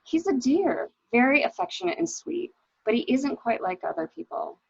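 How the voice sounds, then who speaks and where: A woman's voice: sits high, sing-song, normal speed, creaky, dry recording, highs cut off, exaggerated voice. A woman playing a tense, evasive wife suspected of murder, in an amateur dramatic reading of a mystery. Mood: fond, anxious. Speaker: library staff reader.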